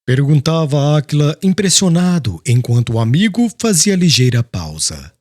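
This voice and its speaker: A man narrating an audiobook in a measured, expressive storytelling manner. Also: a little high, no echo, studio quality.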